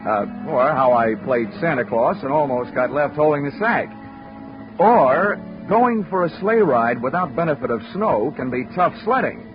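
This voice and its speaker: An actor playing a wry, flirtatious insurance investigator with deadpan delivery in 1940s radio detective drama. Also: male voice, a little high, normal speed, close to an everyday voice. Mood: wry, dry. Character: wisecracking flirtatious male freelance insurance investigator. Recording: dull vintage radio recording with music behind.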